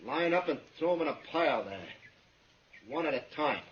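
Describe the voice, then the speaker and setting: A male actor plays a nervous young outlaw in an old radio western. The voice sits a little high and snaps out curt, menacing orders. The sound is dull with faint noise. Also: animated, moderate pace, close to an everyday voice. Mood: barking, nervous. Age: young adult. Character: nervous young outlaw, hostile, barking threats. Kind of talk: 1950s radio western acting.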